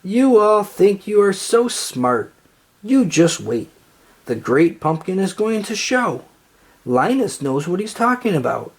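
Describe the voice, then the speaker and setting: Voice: mid-range voice, big pitch swings, takes it slowly, slightly rough, pitched above the performer's own voice, exaggerated voice. A storybook reader voicing a feisty little girl with an indignant, emphatic way of talking. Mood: defiant.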